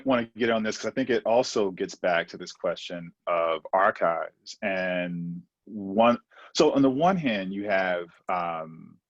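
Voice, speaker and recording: A man with a very low voice speaks as a panelist, pausing and restarting his phrases often. The sound is dry and close, with no room around it. The audio is dull and lo-fi. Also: dry recording. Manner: halting, repeated restarts. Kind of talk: academic panel discussion.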